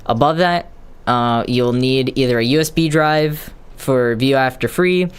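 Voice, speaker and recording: A man's voice: medium pitch, measured pace, clean tone. A man casually rambling on a live stream, hesitating with lots of ums and restarts while explaining tech steps to viewers.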